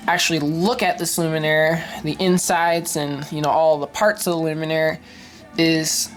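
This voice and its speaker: Congested young woman rambling about a collection. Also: on the low side. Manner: enthusiastic, rambling with frequent ums and restarts. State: congested, stuffy from a cold. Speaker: streetlight collector and vlogger. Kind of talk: casual show-and-tell vlog.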